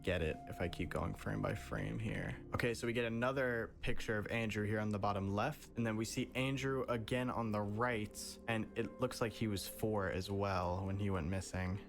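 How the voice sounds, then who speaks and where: A man's voice: on the low side, normal speed, vocal fry, hardly any pauses, soft background music. A man giving casual, analytical reaction commentary as host, thinking aloud in an informal way. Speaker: host of a horror video reaction.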